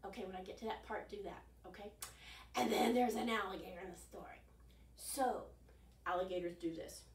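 A woman's voice: medium pitch, a little fast, background noise. A woman in her sixties hosting an interactive children's storytime, encouraging listeners to join in, recorded at home by a distant microphone. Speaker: children's library storytime host. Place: carpeted living room at home. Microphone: distant camera microphone. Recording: roomy, mic a few metres away.

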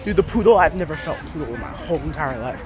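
Mid-pitched voice, casual slangy vlogger, musing aloud, talking to dogs, outdoor phone recording, people chattering behind. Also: expressive, rapid-fire. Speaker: vlogger filming own dog outing. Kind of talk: casual off-the-cuff vlog chat. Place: outdoor dog park. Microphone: handheld phone behind the camera. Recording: noisy open-air park with bystander chatter.

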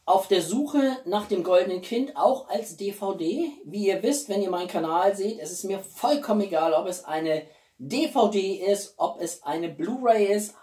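A man's voice: high-pitched, darkish tone. A man, chatting casually to camera as an opinionated film reviewer, speaking colloquially and with emphasis. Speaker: YouTube film reviewer and channel host. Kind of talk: casual chat to camera about a film collection. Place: small room at home, indoors. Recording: roomy, distant microphone.

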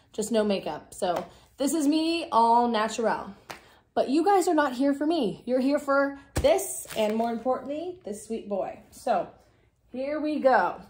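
A woman in her thirties hosting a casual vlog, raspy and low on energy from a cold, chatting with many fillers in her living room. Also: darkish tone. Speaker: vlog host. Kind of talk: casual chat to camera.

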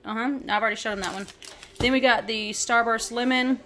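A woman's voice: fairly high pitch, fairly even intonation, a little fast, noisy background. A woman in her thirties chatting casually at home about her groceries, full of ums and likes, filmed on a handheld phone. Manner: chatty, many ums and likes, listing items. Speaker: vlogger. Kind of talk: casual grocery haul narration. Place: home kitchen, indoors.

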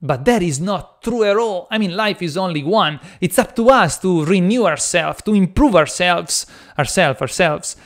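A man in his thirties from Italy, speaking English with an Italian accent in a casual personal-development vlog. He talks with lively gestures and many ums and restarts, recorded close on a studio mic in a small home studio. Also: high-pitched, normal speed. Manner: animated, gestures a lot, frequent ums and restarts. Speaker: vlogger. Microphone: large studio mic on boom arm.

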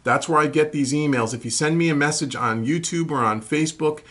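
Husky middle-aged man explaining casually. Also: fairly high pitch, a little fast, a bit bright. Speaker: host of a home recording tutorial Q&A show. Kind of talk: casual explanatory talk to camera, answering viewer questions. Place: small furnished room at home, indoors.

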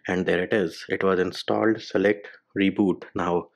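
A man's voice: deep voice, fairly even intonation, normal speed, creaky. A man hosting a phone tutorial voice-over, giving informal step-by-step instructions in short clipped phrases. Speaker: tech tutorial video host.

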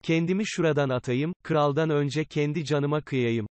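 A man's voice: fairly high pitch, normal speed, clear, resonant tone, dry recording, natural voice. Man acting pleading poor villager, dry close sound. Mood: despairing. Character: poor, anxious married villager, bargaining humbly with a king. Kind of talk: cartoon fairy-tale dialogue.

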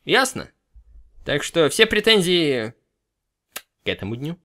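A young adult man giving casual gaming commentary, with halting, rambling asides. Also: on the high side, sing-song, pushed and bright, slight background noise. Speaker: let's player. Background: game music.

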